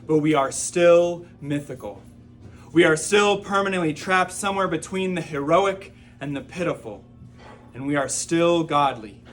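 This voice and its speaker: A man performing spoken-word poetry, reciting in a rhythmic, emphatic way with drawn-out phrases and softened line endings. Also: fairly high pitch, soft background music.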